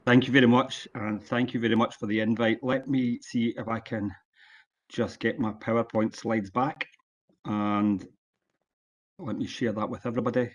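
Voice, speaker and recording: A Scottish man in his sixties delivers a guest lecture over a video call. His voice sits at an ordinary middle pitch for a man, and his delivery is relaxed and plain-spoken, with frequent ums and uhs between his points. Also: moderate pace. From Scotland. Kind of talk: online conference lecture.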